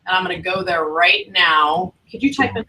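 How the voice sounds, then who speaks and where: Bright-voiced woman advisor, slightly off-mic. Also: lowish voice, normal speed, recorded from a distance. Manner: chatty, encouraging, loose phrasing. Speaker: academic advisor. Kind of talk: casual livestream talk to new students.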